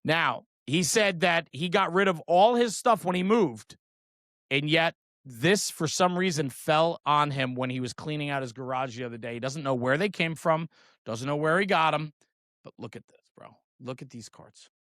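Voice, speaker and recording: Higher-voiced middle-aged man, chatting casually. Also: moderate pace, fairly bright tone, dry recording, clean studio sound. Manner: informal, slangy, often seeking agreement with "right?". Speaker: guest, trading card brand founder. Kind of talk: casual enthusiastic show-and-tell chat.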